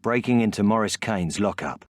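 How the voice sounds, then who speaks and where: A man's voice: fairly low pitch, normal speed, no room sound, clean studio sound, natural voice. A man reading short scripted scene-setting narration for a crime video game. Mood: terse. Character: low-voiced male narrator of crime game.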